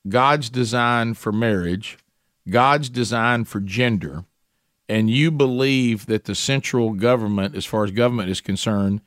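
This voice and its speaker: Emphatic male radio host, mid-pitched. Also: fairly bright tone, no room sound, clean studio sound. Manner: conversational, with frequent restarts and fillers, emphatic. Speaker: radio talk show host. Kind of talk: conservative talk radio commentary.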